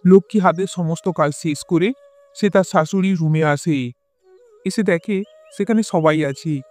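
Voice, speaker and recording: A man's voice: on the high side, moderate pace, a little mellow. A man reading scripted narration for a cartoon folk-style tale. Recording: music bed behind the voice.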